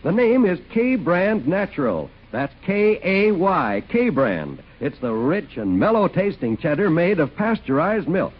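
High, lively American radio announcer man. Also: a little slow, few pauses. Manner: polished, salesmanlike. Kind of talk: 1940s radio announcing and ad reading. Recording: muffled 1940s radio broadcast, faint music.

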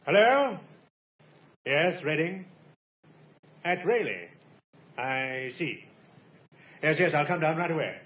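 An actor playing a shrewd, authoritative police inspector in an old-time radio drama, clipped in manner, on a vintage recording. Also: male voice, on the high side, expressive, slow, long pauses, muffled recording, slight background noise.

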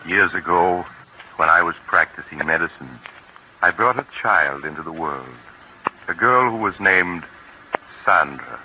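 A man narrating a tale of terror in a measured, ominous style from a 1940s radio show. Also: low voice, animated, a little hiss or hum. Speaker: narrator and host. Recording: tinny old radio broadcast with music.

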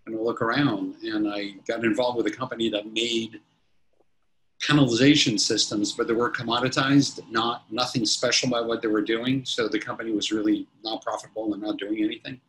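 Man in his 50s, CEO guest, a touch low-pitched, talks in loose, restarting sentences. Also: steady tone, fairly quick, fairly bright tone, a little hiss or hum, low-quality recording. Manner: rambling, many restarts and fillers. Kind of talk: casual interview answers.

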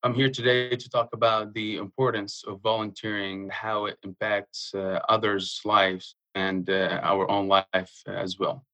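A young man from Jordan, speaking earnestly in a casual interview and pausing often with fillers. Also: lowish voice, flat delivery, sharp tone, poor audio. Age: young adult. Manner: earnest, halting with frequent uh fillers. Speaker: guest.

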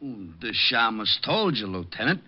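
An actor playing a timid, dopey police sergeant with a hesitant, slangy drawl in an old radio drama. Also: male voice, on the low side, sing-song, moderate pace. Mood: sheepish, dopey. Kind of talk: 1940s radio comedy-drama acting. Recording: muffled old radio recording.

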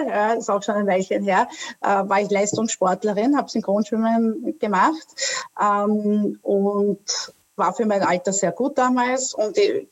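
A woman in her thirties from Australia speaks as an interview guest in a mid-pitched voice. She talks hesitantly, restarting sentences and filling pauses. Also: low-quality recording. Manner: hesitant, many fillers and restarts. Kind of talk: casual interview talk.

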